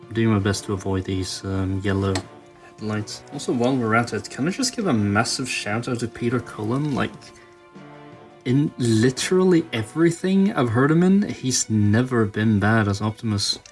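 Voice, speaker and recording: A man giving casual, hands-on tutorial commentary as a video host, musing aloud in halting phrases. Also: mid-range voice, lively intonation, faint music behind. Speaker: toy customizing video host.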